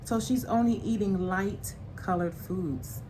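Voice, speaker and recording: A woman reading a picture book aloud to young children in an expressive, deliberate way, pausing to explain things in simple words. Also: medium pitch, noisy background.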